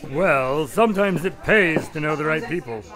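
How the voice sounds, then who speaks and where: Radio drama lead: a man plays a courteous, put-upon doctor, narrating in a slightly higher voice. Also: normal speed, a crowd in the background, natural voice. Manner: polite and exasperated. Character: polite, slightly exasperated male doctor narrator.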